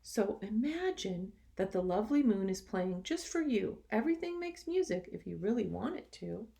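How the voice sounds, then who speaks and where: Lady teacher doing storytime, very up and down voice, bit of creak, gentle asides. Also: mid-range voice, sing-song, moderate pace. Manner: gentle sympathetic asides to young children. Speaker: teacher reading a storybook to pupils. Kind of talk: expressive picture-book read-aloud with asides.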